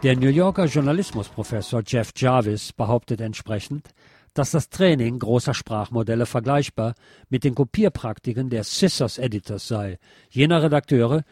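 A man narrating a scripted journalistic essay in a measured, formal read-aloud delivery. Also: medium pitch, a little mellow, dry recording, clean studio sound.

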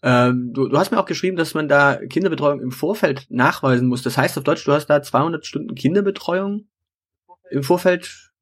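A male host leads a casual interview in a husky, slightly breathy voice. He asks his questions loosely, tripping over his words and repeating himself before he gets to the point. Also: fairly high pitch, a little fast.

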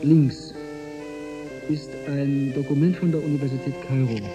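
An actor playing a calm, reassuring scholar aboard a spaceship in a German radio drama, speaking in a measured way. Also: male voice, medium pitch, music in the background, natural voice. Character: calm, reassuring male historian-physicist on a spaceship.

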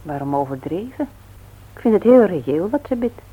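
A woman's voice: on the low side, very animated, moderate pace, husky, narrow, tinny audio. An actress playing a playful but earnest, devout wife and mother in a naturalistic radio drama. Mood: earnest reproach. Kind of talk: naturalistic Dutch radio play acting.

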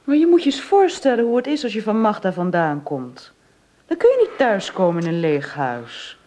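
A woman's voice: medium pitch, very animated, moderate pace, dark tone, close to an everyday voice. An actress playing a devoted, dutiful woman, tender but firm, in a natural conversational radio drama style. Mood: weary, pleading.

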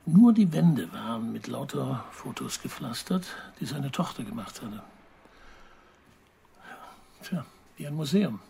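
An actor playing an evasive investigative journalist in a radio crime drama, speaking hesitantly and precisely in German. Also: male voice, medium pitch, very animated, a little slow, gravelly, pauses often, mellow, a little hiss or hum. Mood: explanatory, composed. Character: evasive male investigative journalist giving testimony.

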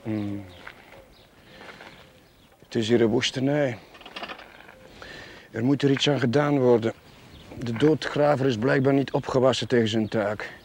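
A man's voice: medium pitch, unhurried, long pauses, darkish tone, slight background noise, lightly acted. A man playing an earnest, stubborn young village curate in a television drama, slightly defensive in manner. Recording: 1970s television drama soundtrack.

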